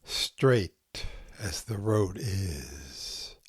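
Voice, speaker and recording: A man reads spare haiku at an extremely slow, deliberate pace. His voice sits fairly low, and he sets out each short phrase with care. The sound is close and dry, with very little room around it. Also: lively intonation, breathy edge, no room sound. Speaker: podcast host reading poetry.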